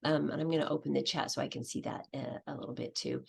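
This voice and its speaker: A woman's voice: low voice, fairly even intonation, fast talker, gravelly, husky. A woman giving a conference presentation on a video call, talking casually with many fillers and restarts. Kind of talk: casual presentation with audience questions.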